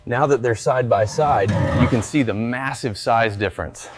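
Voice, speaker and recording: A man's voice: medium pitch, moderate pace, noisy background. A man giving step-by-step workshop commentary as the narrator of a restoration. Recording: music behind the voice.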